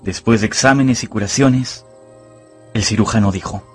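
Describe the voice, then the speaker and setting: An actor narrating an adventure novel as a storyteller in a dramatized radio audiobook. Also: male voice, lowish voice, normal speed, faint music behind, natural voice. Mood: grave storytelling.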